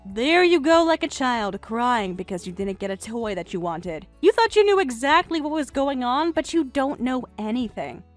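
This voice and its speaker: A woman's voice: on the high side, big pitch swings, normal speed, hardly any pauses, soft background music. A woman voicing a mocking, self-assured jilted young woman in a melodramatic story, sarcastic and condescending. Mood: scornful. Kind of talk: melodramatic narrated story dialogue.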